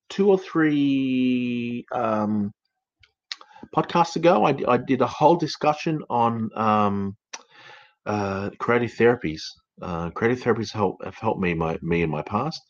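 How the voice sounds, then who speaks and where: A man's voice: mid-range voice, muffled recording. A middle-aged counsellor hosting his podcast from home, with an Australian accent that has a few English traces, chatting casually and rambling with many fillers and restarts. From United Kingdom, raised in Australia. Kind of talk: casual live-stream podcast talk.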